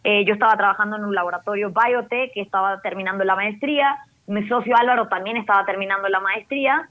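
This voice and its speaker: A woman from northern Chile with a Chilean accent, a startup founder telling her story in a podcast interview, animated and slipping in English terms. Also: medium pitch, fast, phone-quality sound.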